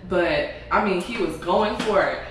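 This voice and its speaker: A young woman chatting casually in her home kitchen, telling a story with lots of giggles and animated asides. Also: fairly low pitch, measured pace. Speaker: vlogger. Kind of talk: casual vlog chat. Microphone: camera across the room. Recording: echoey room, far from camera, background noise.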